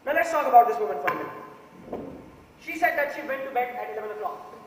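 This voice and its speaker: A man playing a methodical juror in an amateur stage play, laying out his argument deliberately. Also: very high-pitched, lively intonation. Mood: firm, methodical. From India. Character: methodical male juror arguing firmly for guilt. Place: theatre stage, recorded from the audience. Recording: noisy echoey hall, far from mic.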